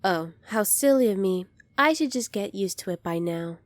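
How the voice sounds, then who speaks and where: A woman playing a poised, kindly young alien princess in an ASMR roleplay, polite, formal and measured, soft-spoken with breathy moments. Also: mid-range voice, lively intonation, no room sound, lightly acted. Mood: curious, courteous.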